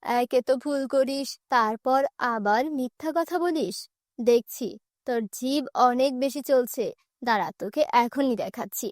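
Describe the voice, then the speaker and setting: A woman's voice: sits high, measured pace, darkish tone, dry recording, studio quality. A woman voicing a cruel middle-aged stepmother in a cartoon, scolding with contempt and threat. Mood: angry.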